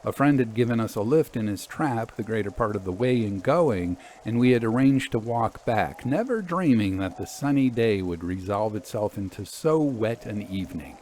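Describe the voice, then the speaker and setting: A man reading scripted narration as a storytelling host, expressive and deliberate. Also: fairly low pitch, a little hiss or hum.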